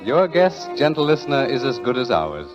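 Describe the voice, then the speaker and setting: A man's voice: medium pitch, sing-song, normal speed. A man working as a radio announcer, reading a script in formal 1940s style. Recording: muffled vintage radio recording, music behind.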